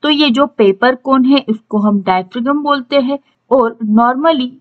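Slow-paced Indian woman teacher, muffled recording. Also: sits high. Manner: explanatory, instructional. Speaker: electronics teacher. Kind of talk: scripted lesson, reading out and explaining step by step. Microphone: voice-over on slides.

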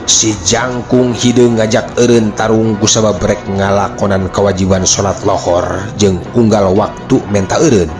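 Low-voiced Sundanese male storyteller, music behind. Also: level tone, normal speed, hardly any pauses. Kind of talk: dramatic folk tale narration in chanted passages and prose.

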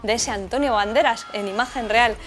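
Female presenter, forties, Castilian Spanish accent. Chatty scripted narration, slightly high voice, music bed underneath. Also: brisk, fairly bright tone. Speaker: film show presenter. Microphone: lapel mic.

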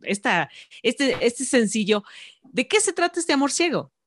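A woman's voice: on the high side, very animated, unhurried, dry recording. A woman radio host with a studio microphone, interviewing a guest in an upbeat, enthusiastic way. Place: radio studio with branded backdrop. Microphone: studio microphone on stand.

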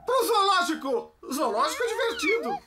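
Crazed fun-loving dad, Brazilian accent, high excitable pitch, wild swoops, loud music behind. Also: male voice, normal speed, heavy background noise, exaggerated voice. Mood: manic glee. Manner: exuberant, exclamatory. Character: manic, reckless, thrill-crazed cartoon dad. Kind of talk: animated cartoon dub.

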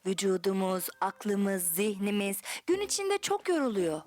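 A woman playing a gentle, patient mother in a children's cartoon, nurturing and expressive as she explains things. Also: mid-range voice, measured pace, faint music behind. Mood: gentle explaining.

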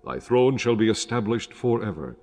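An actor playing the solemn, commanding voice of God in a dramatized Bible reading, stately and authoritative. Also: male voice, on the low side, very animated, moderate pace, darkish tone. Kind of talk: dramatized Bible audio acting.

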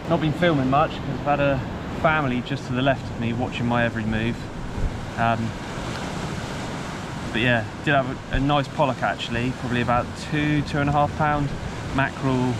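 A man, chatting casually to camera in a low-key, halting way as an outdoor vlogger. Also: fairly even intonation, heavy background noise. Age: 30s. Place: outdoors on a rocky sea ledge. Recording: loud surf and outdoor ambience. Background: waves and surf.

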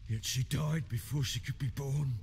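An actor playing an older, burly drunken baron in a video game, anguished and halting, with coarse archaic speech, racked by guilt. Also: male voice, mid-range voice, steady tone, vocal fry, a little mellow, faint music behind, lightly acted. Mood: grief-stricken. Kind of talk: dramatic video game acting.

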